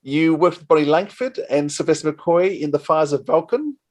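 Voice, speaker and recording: A man's voice: on the high side, expressive, moderate pace. A man hosting a conversational interview, chatty and rambling, with plenty of enthusiasm. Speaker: podcast interviewer.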